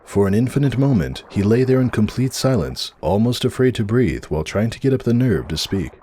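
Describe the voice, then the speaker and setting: A man narrates a storybook tale in a low voice. His delivery is dramatic and swings widely in pitch, over clearly audible background ambience. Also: big pitch swings, brisk, mellow, a little hiss or hum. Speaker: narrator of a fan fiction reading.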